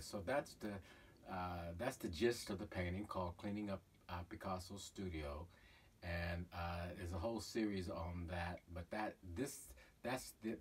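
A middle-aged man, a painter, talking casually about his own painting in a halting way with many fillers, filmed in a cluttered studio. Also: low voice. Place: cluttered art studio indoors. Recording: voice from far off, faint room noise.

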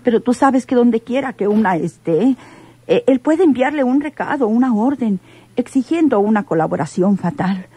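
A woman's voice: a little high, animated, normal speed, dark tone, breathy edge, dry recording. An actress with a Mexican accent playing a frightened, pleading woman in a radio drama, speaking hesitantly, as if confessing. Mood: fearful, pleading. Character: frightened, pleading woman caught between dangerous men. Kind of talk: old-time radio drama acting.

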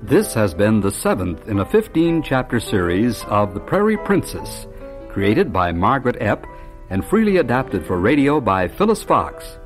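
Male radio announcer, lively intonation, scripted sign-off read over background music. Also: lowish voice, moderate pace.

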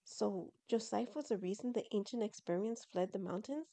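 A woman's voice: medium pitch, moderate pace, mellow, lightly acted. A woman voicing a devoted, fussy young male fox creature in an audio story, earnest and full of questions. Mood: puzzled.

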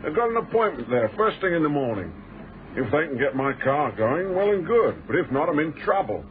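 An actor playing a chatty, overfamiliar British commercial traveller in a radio drama, glib and hearty with matey old-chap phrasing. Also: male voice, a little high, sing-song, fairly quick, narrow, tinny audio. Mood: glib, persuasive. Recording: thin band-limited old radio recording, noisy.

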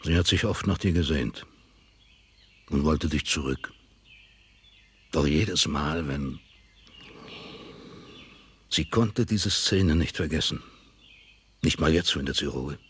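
An actor playing a powerful, scheming old father in a German radio drama, husky and slow, with a commanding, insinuating manner. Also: rumbling low, a bit bright, exaggerated voice. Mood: grave.

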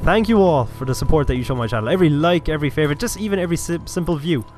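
A man's voice: fairly high pitch, racing, darkish tone. A chatty man from Cork with an Irish accent, giving casual commentary on a shooter game and swearing now and then. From Cork, Ireland. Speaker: gaming channel host. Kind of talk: casual first-person shooter walkthrough commentary. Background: game music and gunfire.

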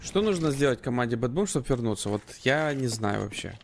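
A man giving live commentary and analysis on a video game match in measured, short phrases. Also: medium pitch, expressive. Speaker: esports commentator. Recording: clear game gunfire and noise behind.

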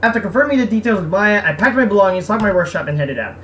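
A woman giving casual game commentary and reading game text aloud, speaking haltingly and repeating words. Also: medium pitch, expressive. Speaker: game streamer. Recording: noisy, distant microphone.

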